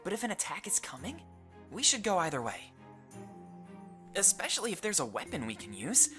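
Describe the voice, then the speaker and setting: An earnest companion from a fantasy visual novel. The voice sits a little low but moves expressively. It is sincere and reasoned, protective of friends, with music playing underneath. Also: female voice, huge pitch swings, a little fast, fairly bright tone. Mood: level-headed, firm. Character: earnest, protective fantasy adventure companion, level-headed and loyal.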